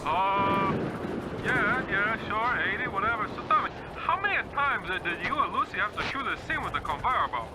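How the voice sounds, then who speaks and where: A man's voice: high-pitched, animated, moderate pace, background noise. A man playing a gullible, starstruck rescuer in an old-time radio comedy, gushing and exaggerated.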